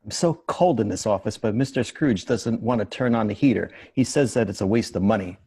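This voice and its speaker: Guy playing a polite timid office clerk, kinda low and raspy, table read of a christmas story. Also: brisk, warm tone. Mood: complaining. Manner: deferential, hesitant. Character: meek, polite, hard-working underpaid office clerk.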